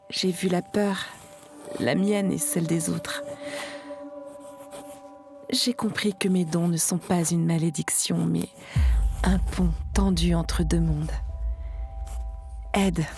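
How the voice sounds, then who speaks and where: A woman playing a sensitive, haunted female clairvoyant in an audio story, speaking in a hushed, confiding, earnest way. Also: lowish voice.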